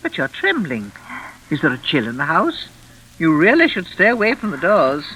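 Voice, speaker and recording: Sinister older lady, sharp and swooping. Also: deep voice, huge pitch swings, normal speed, husky, exaggerated voice. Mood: smoothly evasive. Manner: genteel, coaxing, controlling. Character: sinister, falsely kind older widow, possessive and unhinged. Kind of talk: old-time radio thriller acting. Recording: noisy vintage radio recording.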